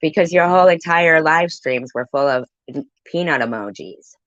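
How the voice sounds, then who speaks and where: A woman's voice: low-pitched, animated, moderate pace, fairly bright tone, dry recording, lo-fi sound. A woman doing casual reaction commentary in an accusatory, sarcastic way. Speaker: commentary video host. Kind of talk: casual reaction commentary on a live stream clip.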